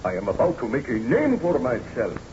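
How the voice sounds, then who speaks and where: An actor playing an obsessed, weary scientist in a theatrical, deliberate old-time radio drama style, on a muffled, noisy recording. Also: male voice, medium pitch, huge pitch swings, darkish tone. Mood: feverish, obsessed. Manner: theatrical, deliberate, brooding narration.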